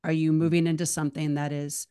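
A woman with a low voice hosts a podcast in easy conversation, chatty and prone to rambling tangents. Her speech is loose and enthusiastic, full of asides. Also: level tone, quick pace, dark tone, clean tone. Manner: chatty, rambling, self-described tangent talker. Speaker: podcast host.

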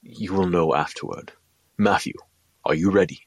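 An actor playing a stately, encouraging alien trainer with a formal, measured manner in an audio drama. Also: male voice, deep voice, breathy edge, no echo. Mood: instructive.

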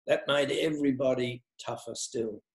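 A man's voice: medium pitch, steady tone, moderate pace, creaky. An older man from Australia, a tennis coach, animatedly reminiscing in conversation with frequent laughs. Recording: echoey room.

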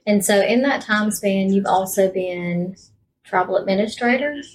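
A woman's voice: mid-range voice, a little slow. A woman hosting a casual interview, asking questions and giving short acknowledgements. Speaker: podcast host, interviewer. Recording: slightly distant mic, noisy background, clicks.

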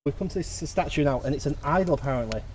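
A man's voice: a little high, lively intonation, fast, slight background noise. A man chatting outdoors and asking curious questions about a statue. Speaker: interviewer on a park visit. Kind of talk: casual outdoor chat.

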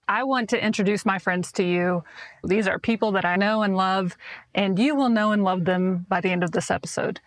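A woman's voice: mid-range voice, steady tone, normal speed, no echo. A woman in her thirties hosting a podcast, reading a scripted intro and then chatting with enthusiasm. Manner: upbeat, enthusiastic. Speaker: podcast host.

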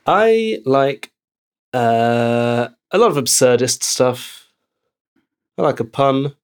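A young adult man who grew up in Belgium, an actor chatting as an interview guest and telling lively anecdotes. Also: mid-range voice, slow pace, clean tone. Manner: animated storyteller, laughs easily. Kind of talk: casual interview chat, anecdotes.

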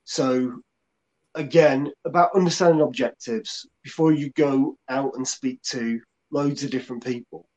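A man's voice: medium pitch, a little slow, a little mellow, rough recording. A man co-hosting a casual chat, somewhat hesitant, repeating words as he thinks aloud. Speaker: co-host, agency colleague.

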